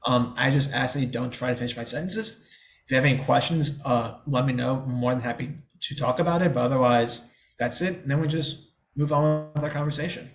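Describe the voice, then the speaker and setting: A man's voice: medium pitch, fairly quick. A man in his twenties from the New Orleans area, a guest in a podcast interview who stutters openly, with blocks and repeated sounds, and talks in a candid, easygoing way.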